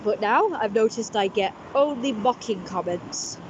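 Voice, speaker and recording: A woman vlogging casually with a blocked-up, congested voice. She talks in a halting, rambling way, full of restarts and fillers. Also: medium pitch, animated, highs cut off, noisy background. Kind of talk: casual personal vlog talk.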